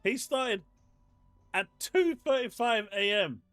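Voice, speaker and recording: A man's voice: extremely high pitch, animated, takes it slowly, fairly bright tone, no room sound. A man with an English accent hosting a casual live stream from his desk at home, reading chat and ranting in disbelief. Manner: exasperated, ranting, incredulous.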